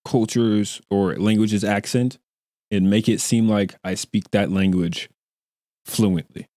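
A man's voice: fairly low pitch, fairly even intonation, takes it slowly, warm tone, dry recording. A young man streaming himself reacting to a video, joking and teasing in casual commentary with mock apologies, talking into a desk mic with a headset in a room at home. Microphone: desk mic on a boom arm with headset.

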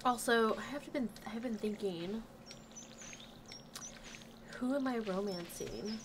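A woman's voice: a little high, a little slow, slight background noise. A woman with a slightly nasal voice, still recovering from a cold, chatting casually as she plays and talks to the game characters. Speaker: gaming channel host. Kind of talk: casual let's play commentary. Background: game music.

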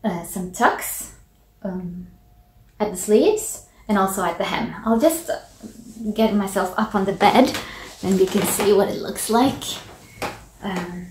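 A woman in her forties from Finland with a Finland-Swedish accent and Swedish as her first language, hosting a knitting podcast as a relaxed, thoughtful chat to the camera from her home office, with frequent ums and pauses. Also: mid-range voice, slight background noise. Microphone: camera at desk distance. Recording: echoey small room.

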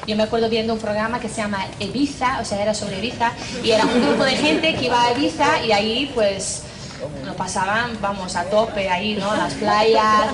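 A young woman from Northern Ireland with a Northern Irish accent, speaking Spanish as a second language, telling her story lively to a crowd outdoors, acting out her own lines. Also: a little high. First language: English. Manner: animated storyteller, acts out her own lines, laughs often. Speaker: religious sister giving testimony. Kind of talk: lively personal testimony to a crowd. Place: outdoors under trees, among a crowd. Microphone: handheld mic held by another. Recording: distant camera mic with crowd and outdoor noise.

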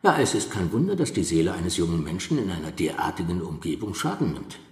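Blustery asylum doctor, swooping and echoey. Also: male voice, deep voice, sing-song, fast, darkish tone. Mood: chatty, disapproving. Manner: blustery, hesitant hums and short scornful chuckles. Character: brusque, agitated male asylum doctor, fussy and scoffing. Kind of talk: German radio play acting. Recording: echoey hall with long reverb.

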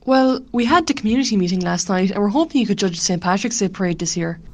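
A woman's voice: mid-range voice, fast, a little mellow, close to an everyday voice. A woman playing a polite, earnest Irish parishioner in an amateur radio drama.

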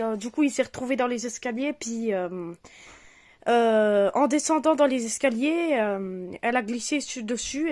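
A woman's voice: high-pitched, no echo, studio quality, natural voice. A woman doing a flustered scolding mother. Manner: exasperated, scolding, then politely apologetic on the phone. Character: flustered mother looking after children.

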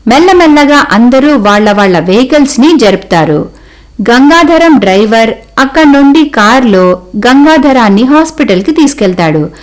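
A woman tells a cartoon moral tale as its narrator. Her delivery is scripted storytelling, with a highly varied, animated pitch. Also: normal speed, poor audio.

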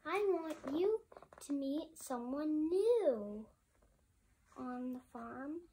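Picture-book farmer played by a female reader: helpful man, kindly teacher's manner, very unhurried speech. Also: high-pitched, animated, mellow, slight background noise, heavily acted. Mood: friendly, encouraging. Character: friendly, helpful male farmer in a children's storybook.

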